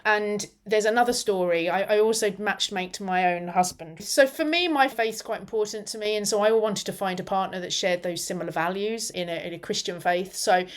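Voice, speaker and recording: A woman in her forties, a radio interview guest, telling a personal story in an animated, reflective way. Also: mid-range voice, a little fast, hardly any pauses. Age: 40s.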